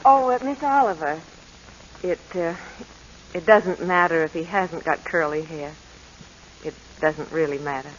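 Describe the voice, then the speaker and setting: An actress playing an anxious, eager, sweet young wife with a flustered, pleading manner in a 1940s radio drama. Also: female voice, low voice, measured pace, slight background noise. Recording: dull, lo-fi 1940s radio recording.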